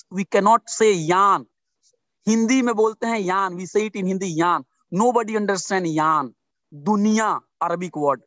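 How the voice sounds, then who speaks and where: Earnest high-voiced Indian man. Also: fairly bright tone, no room sound. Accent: Indian English. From India (Bihar). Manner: earnest, repetitive, emphatic with restarts. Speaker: interview guest, writer. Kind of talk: video-call interview. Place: outdoors under trees. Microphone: video call.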